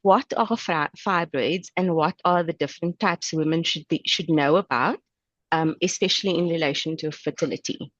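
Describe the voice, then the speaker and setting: A woman's voice: sits low, normal speed, fairly bright tone, dry recording, dull audio. A woman hosting an interview, polite and brief, asking questions and giving short acknowledgements. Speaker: host.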